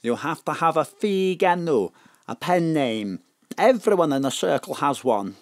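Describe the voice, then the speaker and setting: An actor playing a grandiose, excitable in an audio drama, declamatory and effusive. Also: male voice, on the high side, unhurried. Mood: ceremonious. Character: excitable, grandiose Welsh male Archdruid.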